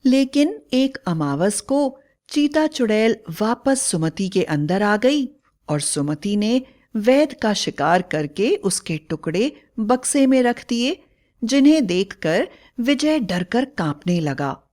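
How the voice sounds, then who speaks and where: A woman's voice: on the high side, very animated, slow, a little mellow. A woman reading a scripted story as its narrator.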